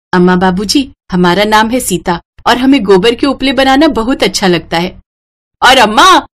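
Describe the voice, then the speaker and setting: A woman's voice: on the high side, a bit bright, pitched above the performer's own voice. A woman voicing a simple, cheerful young village bride in a story, polite and earnest, with a rustic way of speaking. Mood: eager, proud.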